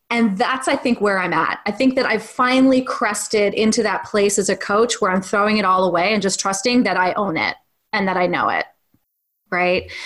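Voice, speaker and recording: Candid woman guest, mid-pitch, thinks aloud, casual cursing, reflective interview talk. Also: a bit bright. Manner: frank and reflective, swears casually, many restarts. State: single mom. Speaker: acting coach and podcast guest.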